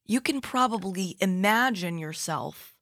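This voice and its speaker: A woman's voice: medium pitch, lively intonation, measured pace, fairly bright tone, no room sound. A woman hosting a radio interview in a studio, asking curious, eager follow-up questions in a conversational way. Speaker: radio host.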